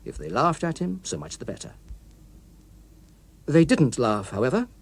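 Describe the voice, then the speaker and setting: Expressive male narrator, lively swooping pitch. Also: normal speed, slightly rough. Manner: precise, literary, expressive. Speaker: audiobook narrator. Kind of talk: scripted dramatic story narration.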